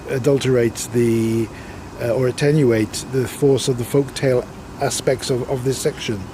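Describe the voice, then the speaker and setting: A man posing literary interview questions in a deliberate, halting way with formal wording. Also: mid-range voice, mellow, slight background noise. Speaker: interviewer, writer.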